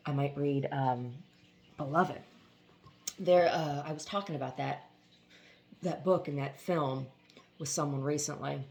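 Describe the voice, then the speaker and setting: A woman reading a novel aloud, pausing between chapters for relaxed, chatty comments. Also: very deep voice, gravelly, a little hiss or hum. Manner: expressive reading, laughs at funny passages. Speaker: audiobook reader and channel host.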